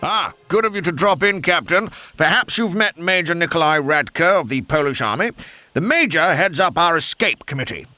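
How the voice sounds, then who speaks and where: An actor playing a genial upper-class British wing commander in a video game, with a plummy British accent and jovial, courteous military slang. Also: male voice, a little high, expressive, moderate pace, pushed and bright, no room sound, faint music behind. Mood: jovial, encouraging. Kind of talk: video game cutscene acting.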